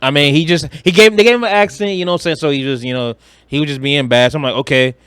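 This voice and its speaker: Male podcast co-host, high-pitched and dry-sounding, animated, blunt, slangy, casual movie banter. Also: quick pace, smooth, no room sound. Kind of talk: casual chat about films.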